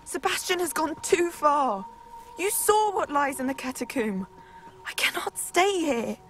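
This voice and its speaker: A high, frail teenage girl. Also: lively intonation, measured pace, fairly bright tone, slight background noise. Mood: upset, resolute. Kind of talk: video game dialogue acting.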